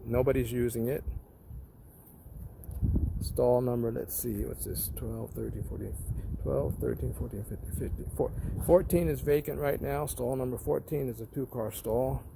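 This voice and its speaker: A man's voice: mid-range voice, fairly even intonation, moderate pace, creaky, noisy background. A man giving a casual narrated walkthrough as a tenant, explaining things plainly and repeating points for emphasis, recorded while walking around a parking lot.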